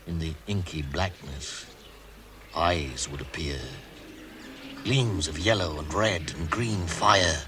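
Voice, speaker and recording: An actor as a grave narrator, telling a fantasy story in a measured, theatrical radio drama manner. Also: male voice, deep voice. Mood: ominous. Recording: noisy vintage radio recording.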